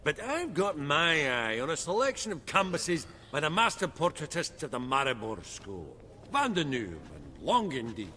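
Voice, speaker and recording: Dwarf banker for a game, high swoopy voice, chummy and bossy, echoey room. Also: male voice, wildly swooping pitch, normal speed, bright, forceful tone, slight background noise, full character voice. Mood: chatty. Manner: colloquial, blustering, swearing. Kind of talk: video game voice acting.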